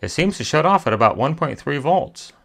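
A man's voice: a little high, expressive, brisk, vocal fry, fairly bright tone. A man hosting a hands-on repair tutorial, talking methodically step by step and thinking aloud as he works. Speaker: repair channel host. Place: indoor electronics workbench.

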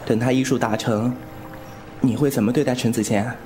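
A man's voice: medium pitch, steady tone, fairly quick, a little mellow, soft background music, close to an everyday voice. An actor playing a young swordsman in a wuxia audio drama, playful yet wounded and distrustful, frank about his feelings. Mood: awkward, teasing.

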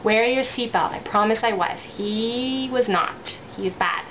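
A woman's voice: fairly high pitch, a little slow. Young woman rambling to her cam in a bedroom, muffled old camera sound, hiss behind. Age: young adult. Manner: rambling, chatty, self-conscious. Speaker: vlogger. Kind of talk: casual vlog chat to camera. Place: small bedroom at night. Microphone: built-in camera mic at arm's length.

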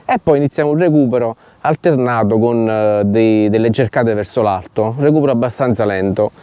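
A middle-aged man explaining fishing technique to camera, haltingly, searching for his words. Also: mid-range voice, dark tone, no room sound, muffled recording. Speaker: fishing vlogger. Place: outdoors on a grassy lakeshore.

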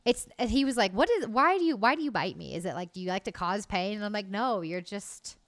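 A woman's voice: mid-range voice, lively intonation, brisk. A woman in her late twenties streaming from home, chatting casually with her viewers in a loose, cheerful way and swearing freely. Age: late 20s. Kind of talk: casual chat with live chat. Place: home room, indoors. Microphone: desk mic on arm, headset.

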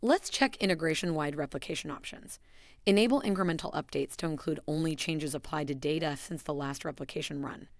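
A woman giving a scripted, even voice-over that walks through software steps. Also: deep voice, fairly quick, no room sound, studio quality. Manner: even, instructional delivery. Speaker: software tutorial narrator.

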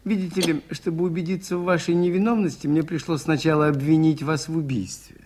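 Radio play: gentle, shrewd priest sleuth played by a man, slightly husky and rough, hesitant, courteous. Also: on the high side, steady tone, a little fast, close to an everyday voice. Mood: patient, explaining. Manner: hesitant, polite, quietly probing. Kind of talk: Soviet radio play acting.

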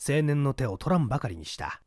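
Expressive male mystery-story narrator. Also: medium pitch, no room sound, clean studio sound. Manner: measured storytelling with dramatic asides. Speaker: reader.

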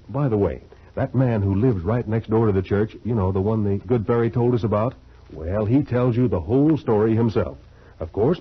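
A man's voice: mid-range voice, slightly rough. A man announcing and selling to children in vintage 1940s radio style. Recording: dull old 1940s radio recording.

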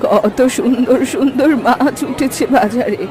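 Woman playing a high-voiced old village granny. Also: a little slow, darkish tone, a little hiss or hum, natural voice. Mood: chatty, kindly. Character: chatty old village granny who sells vegetables. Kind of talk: cartoon dialogue. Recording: background music and crowd bustle.

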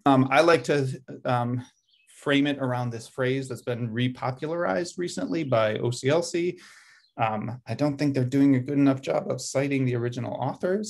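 A middle-aged man presents over a video call, his voice sitting at an ordinary middle pitch. He talks in loose, thinking-aloud sentences, often correcting himself and filling gaps with um. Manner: thinking aloud, frequent ums and self-corrections. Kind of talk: presentation over shared slides. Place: indoor room at a desk.

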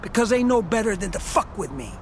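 An actor playing a brash gang weapons handler in a video game, slangy, profane and aggressive. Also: male voice, sits high, sing-song, brisk, slight background noise. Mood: sly. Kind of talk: video game cutscene acting.

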